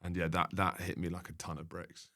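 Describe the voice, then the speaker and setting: A man's voice: low voice, level tone, brisk, fairly bright tone. A young man, a comedian guest, telling comic anecdotes casually with exaggeration and repetition.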